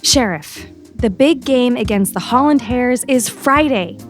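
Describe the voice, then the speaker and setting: A woman's voice: fairly high pitch, expressive, measured pace, faint music behind. A woman playing an earnest, pushy teenage student journalist in an audio drama, with an insistent, sassy manner. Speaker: voice actor.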